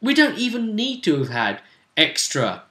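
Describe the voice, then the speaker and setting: Man, lively swooping intonation, bright forceful tone, casual role-playing game talk, echoing room. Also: high voice, a little slow. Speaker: co-host of a tabletop role-playing vidcast. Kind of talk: casual chat recapping a role-playing campaign. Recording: echoey room.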